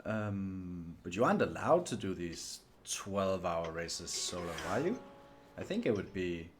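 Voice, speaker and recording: Male sim racing stream host, lowish voice, chatty, courteous, self-deprecating, casual delivery. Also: sing-song, measured pace, soft background music. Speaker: livestream host and sim racer. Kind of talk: casual livestream gaming chat.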